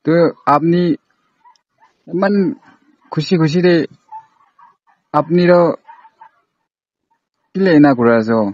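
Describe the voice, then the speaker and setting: A man's voice: a little high, lively intonation, slow pace, pauses often, darkish tone, no echo. A man on a casual, confrontational phone call, scolding and accusing insistently. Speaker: prank caller.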